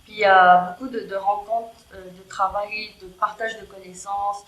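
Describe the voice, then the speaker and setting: A woman's voice: mid-range voice, fairly even intonation, normal speed. A woman who is not a native French speaker, talking casually with many fillers and restarts in an interview. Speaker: guest, EDI worker in tech. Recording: roomy with faint background noise.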